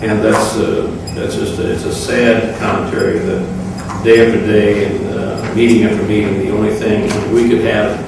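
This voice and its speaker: A man speaking off the cuff as an official at a public meeting, halting, solemn and aggrieved. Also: lowish voice, level tone. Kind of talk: extemporaneous public remarks. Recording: very echoey room, distant mic, clear noise.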